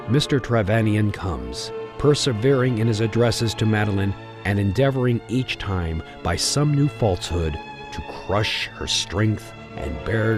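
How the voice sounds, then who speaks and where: Slow, dramatic low-voiced male narrator. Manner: dramatic, theatrical storytelling. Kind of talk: scripted narration, old-time radio melodrama.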